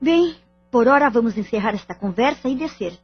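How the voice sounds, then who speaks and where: A woman's voice: fairly high pitch, animated, fairly quick, slightly rough, dull audio, soft background music. An actress with a Brazilian accent playing a wronged, firm wife and mother in an audio drama. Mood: reassuring.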